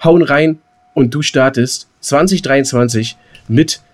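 A man's voice: medium pitch, takes it slowly. A man co-hosting an unscripted podcast in colloquial, jokey banter, often repeating the start of his phrases. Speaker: podcast co-host.

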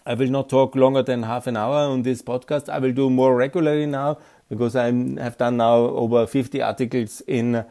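Austrian guy doing his own podcast, earnest, german is his first language, mellow dark voice. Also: medium pitch, steady tone, a little fast. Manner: earnest, frequent uh and yeah tags. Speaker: podcast host. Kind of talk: solo political commentary.

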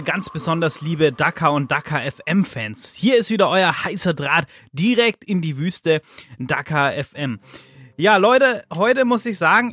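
A man's voice: a little high, fairly bright tone. A man hosting a podcast on his own, talking casually and loosely with lots of filler sounds. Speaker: podcast host. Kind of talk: casual solo podcast commentary.

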